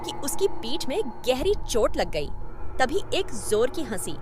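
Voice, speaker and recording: A woman's voice: high voice, sing-song, unhurried, noisy background. A woman reading a scripted horror story as its narrator.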